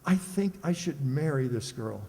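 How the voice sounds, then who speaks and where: Man playing a breezy bachelor, animated and reverberant. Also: on the high side, normal speed, vocal fry. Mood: breezy, smitten. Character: boastful, girl-crazy bachelor pal, breezy and teasing. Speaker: amateur radio player. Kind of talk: old-time radio comedy acting. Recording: echoey hall with long reverb.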